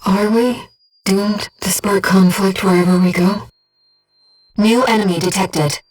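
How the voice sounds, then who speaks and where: A woman voicing a gentle, composed, earnest disembodied female guide in a video game, speaking in a measured, softly formal and precise way. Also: mid-range voice, steady tone, slightly rough, recorded from a distance. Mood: tender, solemn. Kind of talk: scripted video game voice acting, mission guidance. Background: music.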